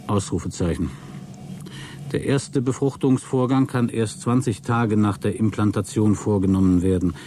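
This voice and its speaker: A man's voice: fairly low pitch, mellow, slight background noise, natural voice. A man playing a mocking, scheming astronaut in a satirical science fiction radio drama. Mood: dry, official. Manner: sardonic, needling. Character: mocking, scheming male astronaut, resentful of his crewmate.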